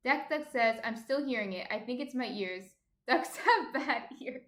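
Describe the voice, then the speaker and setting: A woman's voice: a little high, measured pace, a bit bright, reverberant. A woman with a slight New Jersey accent hosts a baking livestream, reading viewer comments aloud and chatting as she cooks. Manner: chatty, thinks aloud while cooking.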